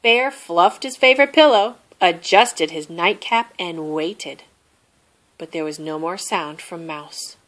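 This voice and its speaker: A woman reading a children's story aloud as an expressive narrator. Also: fairly low pitch, takes it slowly. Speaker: storybook reader.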